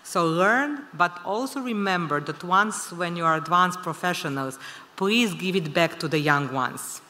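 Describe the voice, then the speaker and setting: Bright, forceful Serbian-accented woman in echoing hall. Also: on the low side, expressive, measured pace. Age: 50s. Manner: emphatic, exhorting, addresses listeners directly. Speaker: conference speaker. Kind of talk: motivational speech. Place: large indoor conference hall. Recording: reverberant conference hall.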